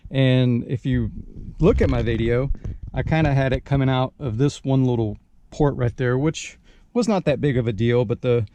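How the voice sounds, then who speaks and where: A man's voice: mid-range voice, moderate pace, warm tone, a little hiss or hum. A man giving a casual, unscripted product walkthrough as a channel host, in a hesitant, rambling way with frequent repeated words.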